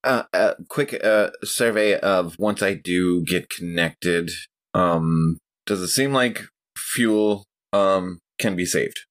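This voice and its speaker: Low-voiced man at a gaming table. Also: slow. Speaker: player in a tabletop role-playing game. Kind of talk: casual game-table talk.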